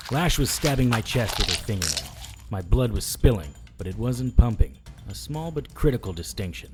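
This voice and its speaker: An actor playing a battered, wry private investigator, narrating in the first person in an audio drama. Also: male voice, lowish voice, sing-song, moderate pace, music in the background. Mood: tense, dry. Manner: dry, hard-boiled.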